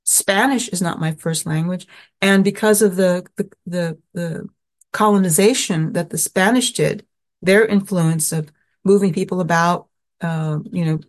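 A woman with a somewhat low voice tells a personal story slowly and thoughtfully. She often stops, repeats words and uses fillers as she searches for what to say. She grew up in the American Southwest. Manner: halting and reflective, many restarts and fillers. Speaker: speaker sharing her recovery story.

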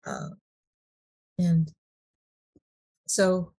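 A middle-aged woman from Sacramento giving a personal testimony, talking haltingly with many restarts and repeated words. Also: on the low side, huge pitch swings, vocal fry, dark tone. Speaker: recovering alcoholic sharing her story.

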